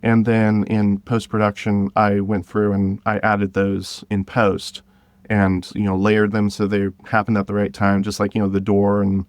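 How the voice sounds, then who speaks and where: Guy with a lowish voice telling stories in an interview, kinda halting and chuckly, dry close sound. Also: completely flat delivery, dry recording. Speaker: guest, director of an audio drama podcast. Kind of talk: casual interview, storytelling.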